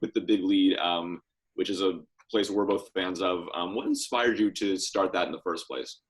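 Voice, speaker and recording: A man's voice: low-pitched, fairly even intonation, normal speed, a little hiss or hum, rough recording. A young man co-hosting a podcast, introducing and questioning guests in a casual conversational style. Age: young adult. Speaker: podcast co-host, interviewer.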